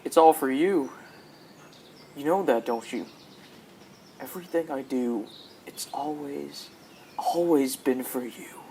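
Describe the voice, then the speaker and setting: A man's voice: mid-range voice, takes it slowly, vocal fry, long pauses, mellow, slight background noise. A teenage boy playing a troubled, pleading company boss and devoted husband in a radio drama. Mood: pleading, anguished. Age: teens, high school student.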